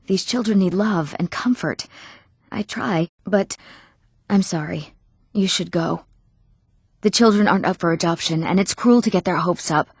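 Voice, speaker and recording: A woman playing an earnest, emotional young townswoman in a fantasy video game, speaking clearly and expressively. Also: fairly low pitch, normal speed, long pauses, no echo, studio quality. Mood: worried, pleading. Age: young adult. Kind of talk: scripted fantasy game dialogue.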